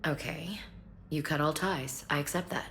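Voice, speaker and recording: A woman's voice: low-pitched, normal speed, a bit bright, a little hiss or hum. A woman playing a guarded, frank soldier with a wry streak in a video game.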